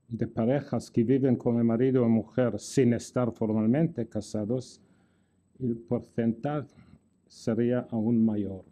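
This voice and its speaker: A man's voice: mid-range voice, mellow. An older man with an Italian-tinged accent giving a formal lecture in careful non-native Spanish, with wry asides and restarted words. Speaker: guest lecturer. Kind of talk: formal lecture with dry jokes.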